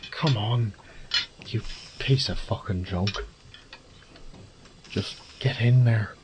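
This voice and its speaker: A man playing a wry, resourceful thief in an audio drama, muttering gruffly and cursing to himself. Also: fairly low pitch, drawn-out words, pauses often, background noise. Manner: gruff, sardonic, swearing under his breath. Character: wry, foul-mouthed adult male thief, resourceful under pressure.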